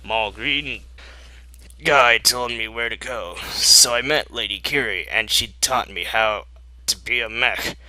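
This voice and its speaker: A man's voice: medium pitch, slow pace, sharp tone, no room sound, low-quality recording. A man voicing a brash, eager young female cartoon pegasus pony with a chatty, boastful manner.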